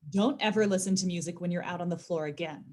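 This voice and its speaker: A woman's voice: lowish voice, fast, a little mellow, close to an everyday voice. A woman playing a stern, exasperated grocery store supervisor, curt and chiding, in an audio drama. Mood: scolding.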